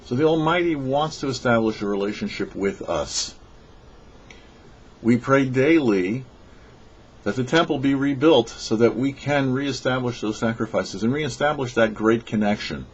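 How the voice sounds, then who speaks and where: A man delivering a scripted religious teaching as a rabbi, in a measured, emphatic way with rhetorical questions. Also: mid-range voice, breathy edge. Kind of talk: scripted sermon read for a radio show. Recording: dull, lo-fi audio.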